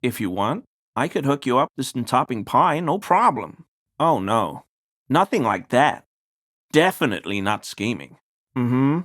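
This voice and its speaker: A man's voice: mid-range voice, sing-song, measured pace, a bit bright. A male actor playing a nervous, apologetic townsman in a video game, pleading and hesitant as he answers to the police. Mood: apologetic, obliging. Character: nervous, grovelling small-time bigoted townsman under police questioning. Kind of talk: scripted video game character dialogue.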